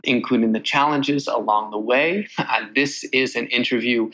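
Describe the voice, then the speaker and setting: A man's voice: mid-range voice, normal speed, sharp tone, rough recording. A man from New York talking in a loose, casual way, with lots of fillers, as he opens his own podcast and then answers questions as a guest. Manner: loose, chatty, many fillers. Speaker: podcast host, here a guest. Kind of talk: casual conversational intro and interview.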